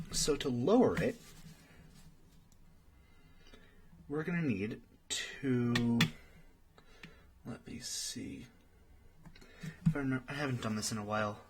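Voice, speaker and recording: A friendly young guy acted by a man tutors in a soft-spoken ASMR roleplay. He takes his time, patient and a little hesitant as he thinks aloud, in a medium-pitched voice. There is some noise and clicking behind him. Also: natural voice. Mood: concentrating, unsure. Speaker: study partner.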